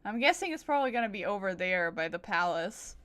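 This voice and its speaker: A woman's voice: medium pitch, expressive, quick pace, a bit bright. A woman livestreaming a game with casual, playful chatter and silly little asides. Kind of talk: casual gameplay stream chat.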